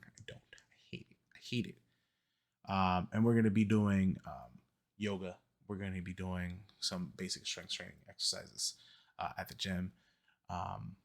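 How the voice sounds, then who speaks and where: A man in his early thirties talking casually to camera as a vlogger, rambling with many ums and quick self-corrections. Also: on the low side. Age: 30s. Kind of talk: casual fitness vlog talk.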